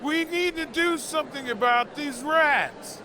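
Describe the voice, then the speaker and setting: A man's voice: very high-pitched, unhurried. A man playing a worried townsman in a children's storybook tale. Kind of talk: slow storybook dialogue. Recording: outdoor crowd noise behind.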